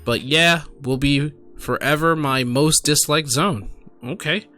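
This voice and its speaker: Bright-voiced tipsy man reacting casually. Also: fairly high pitch, takes it slowly, faint music behind. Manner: rambling, exclamatory, addresses viewers directly. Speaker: streamer and gaming video host. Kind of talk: casual off-the-cuff reaction commentary.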